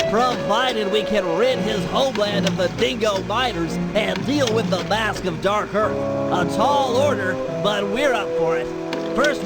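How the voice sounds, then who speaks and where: A man voicing a brainy, nerdy cartoon turtle from a video game, giving precise, wordy and eager instructions. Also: extremely high pitch. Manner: precise, verbose, eager briefing. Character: nerdy, brainy, high-voiced cartoon turtle, the gang's planner. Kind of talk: animated video game acting. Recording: music behind the voice.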